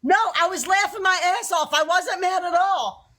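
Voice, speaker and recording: A woman's voice: very high voice, normal speed, pushed and bright. A woman in casual livestream chat, swearing and teasing her friend, laughing often.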